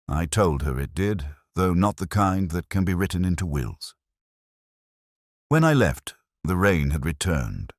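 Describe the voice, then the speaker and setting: A man playing a melancholy middle-aged English police inspector, narrating his case in a radio drama with a solemn, measured, literary delivery. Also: deep voice, no room sound, studio quality. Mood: brooding, wistful. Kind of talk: scripted first-person radio drama narration.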